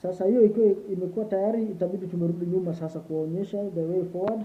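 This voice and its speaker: A man's voice: high voice, very fast. A man with a Kenyan accent walking through an online form in a casual tutorial, switching between Swahili and English and spelling out letters one by one. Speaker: applicant demonstrating the form. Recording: tinny phone-like sound with steady noise.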